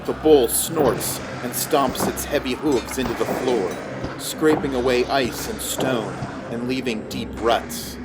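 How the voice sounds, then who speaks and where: A man reading scripted fantasy narration in a measured storytelling manner. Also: mid-range voice, heavy background noise.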